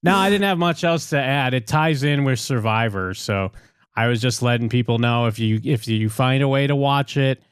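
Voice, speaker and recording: A man's voice: medium pitch, normal speed, clear, resonant tone, dry recording, studio quality. A man co-hosting a podcast, chatting loosely about a trailer with lots of fillers and the odd pun. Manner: loose, filler-heavy, playful puns. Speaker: podcast co-host. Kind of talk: casual TV review chat.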